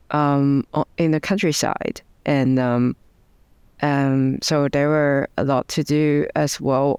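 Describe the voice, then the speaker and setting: Slow, gravelly Hong Kong-accented woman. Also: extremely low pitch, darkish tone, no echo. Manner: hesitant, many ums and restarts. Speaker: guest, democracy advocate. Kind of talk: interview answers.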